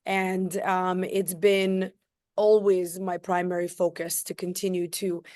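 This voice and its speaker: A woman's voice: on the low side, flat delivery, slow, dark tone, dry recording, studio quality. A woman hosting an interview in a conversational style, with effusive, gushing phrasing. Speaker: interview host.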